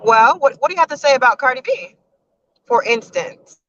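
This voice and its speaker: Higher-voiced, questioning woman host. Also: lively intonation, fairly bright tone, no room sound. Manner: questioning, halting and searching for words. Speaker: podcast co-host. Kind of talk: casual discussion show. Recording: dull lo-fi sound.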